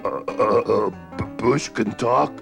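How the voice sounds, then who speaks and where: A man voicing an angry male wolf in a storybook tale, talking gruffly and slowly with stammers. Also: on the low side, voice pushed up. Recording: guitar playing behind.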